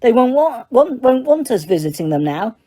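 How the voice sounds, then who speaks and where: A woman's voice: on the high side, very animated, moderate pace, dark tone, voice pushed up. A woman voicing an irritable teenage schoolboy wizard. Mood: annoyed.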